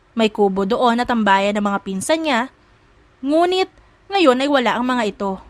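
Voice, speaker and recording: A woman's voice: a little high, no room sound. A woman narrator reading a scripted romance story for an audiobook.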